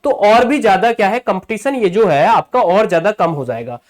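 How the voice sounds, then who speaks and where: A man's voice: sits high, expressive, normal speed. A male coaching teacher walks students through an exam-prep lesson. He repeats each key figure and keeps checking that listeners are following. He talks to his viewers in a familiar way, calling them brothers.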